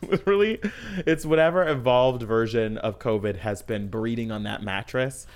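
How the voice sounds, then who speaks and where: A woman's voice: rumbling low, moderate pace, a little mellow. A woman in her twenties co-hosting a podcast, chatting in a brash, swearing, comedic way. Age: 20s. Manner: brash, swears a lot, goes dramatic and squealy for laughs. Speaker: podcast co-host. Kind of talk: casual comedic chat.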